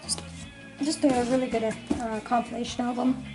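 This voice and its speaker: Hoarse woman, higher voice, rambling casual record-collector vlog, faint music behind. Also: steady tone, normal speed, poor audio. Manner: chatty, rambling, many ums and restarts. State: hoarse, late-night tired. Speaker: vinyl collector vlogger. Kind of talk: casual show-and-tell vlog.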